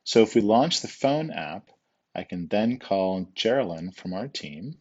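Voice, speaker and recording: A man giving an informal step-by-step software tutorial in a patient, explanatory, conversational way. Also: on the low side, expressive, measured pace, highs cut off.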